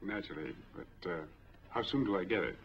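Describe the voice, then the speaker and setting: An American actor in his forties playing a smooth, easygoing drifter in a 1940s film drama. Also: low-pitched, animated, a little fast. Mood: keen, confident. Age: 40s. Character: smooth, easygoing drifter applying for bank money. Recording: dull old 1940s film soundtrack.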